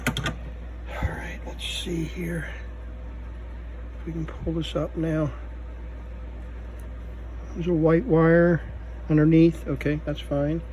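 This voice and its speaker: A man giving unscripted hands-on repair commentary, halting and thinking aloud as he works. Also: fairly high pitch, flat delivery. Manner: halting, muttering thoughts aloud while working. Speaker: vintage electronics repair video host. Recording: faint background noise.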